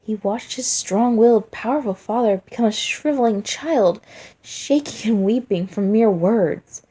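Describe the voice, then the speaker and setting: A woman's voice: a little high, a little slow, dark tone, husky. A woman narrating a dramatic story from a script as a fan fiction reader.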